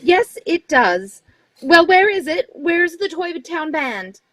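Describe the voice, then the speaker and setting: A motherly older Mrs. Santa bustles and scolds, played by a woman. Her voice sits very high and swings in lively arcs. It is heard in an old-time radio play read live. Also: slow pace, no room sound, full character voice.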